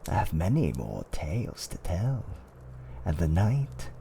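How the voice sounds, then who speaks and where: A man playing a fantasy tavern owner, telling dark fireside tales in a hushed, dramatic roleplay ASMR style that often drops toward a whisper. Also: sits low, huge pitch swings, a little slow, vocal fry, slight background noise, full character voice. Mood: genial, sly. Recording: faint background ambience.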